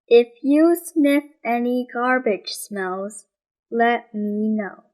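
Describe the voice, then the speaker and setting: A woman voicing a serious young boy detective in a storybook, deadpan and deliberate. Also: fairly high pitch. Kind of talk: storybook character reading.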